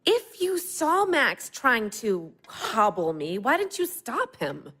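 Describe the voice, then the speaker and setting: An actress playing a confused, hurt adult daughter in a naturalistic audio drama, earnest, questioning and exasperated. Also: female voice, a little high, wildly swooping pitch, slow, a bit bright, breathy edge, heavily acted. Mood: incredulous, challenging.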